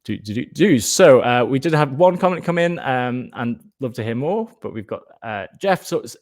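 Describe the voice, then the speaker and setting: A man's voice: medium pitch, expressive, moderate pace. A man hosting an informal online presentation of an educational resource, speaking in an enthusiastic but hesitant, discursive way.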